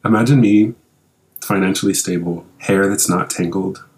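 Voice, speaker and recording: A man's voice: sits low, fairly even intonation, moderate pace, darkish tone, poor audio. A man in his twenties co-hosting a podcast, chatting casually in a candid, joking, profane way. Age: 20s. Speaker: podcast co-host.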